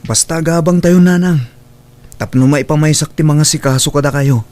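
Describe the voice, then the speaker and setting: A man's voice: a little high, moderate pace, a little mellow, lightly acted. A man with an Ilocano accent acting a blunt, resentful grown son in a radio drama. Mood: insistent.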